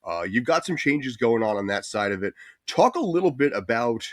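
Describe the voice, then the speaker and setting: A man's voice: medium pitch, very animated, moderate pace, no room sound, clean studio sound. A man hosting a casual sports podcast interview, talking in an informal, chatty way. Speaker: podcast host.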